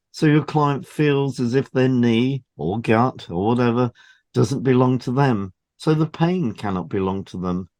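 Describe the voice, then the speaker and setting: A man's voice: mid-range voice, darkish tone, no room sound. A man co-hosting a health podcast, reading scripted advice in an explanatory, matter-of-fact way. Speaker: podcast co-host and hypnotherapist. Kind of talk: scripted educational narration.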